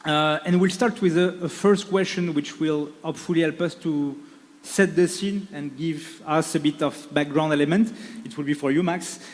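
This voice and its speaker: A man opens a conference panel, upbeat and halting, with frequent fillers. His voice sits a little high. It rings out with the long reverb of a large hall. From France. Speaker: panel moderator.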